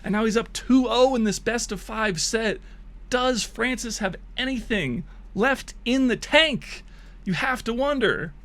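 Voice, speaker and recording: A man's voice: very high voice, animated, measured pace, a bit bright. A man streaming and giving hyped mock esports play-by-play of bot matches, laughing and joking crudely, his voice wearing thin. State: losing his voice.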